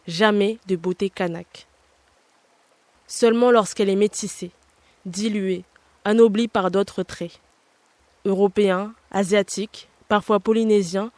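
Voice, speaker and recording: A woman in her twenties narrates a personal, scripted podcast essay. Her delivery is measured and reflective, unfolding in short phrases at a medium pitch. The sound is very dry, with no room around the voice. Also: a little mellow, dry recording. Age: 20s.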